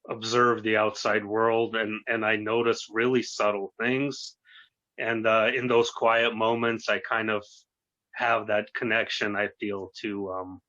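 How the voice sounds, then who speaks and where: A man in his early thirties, a native Russian speaker raised in the United States, giving an earnest, halting personal account as an interview guest on a lo-fi recording. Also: fairly low pitch, bright, forceful tone. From born in Russia, grew up in the United States. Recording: dull, lo-fi voice recording.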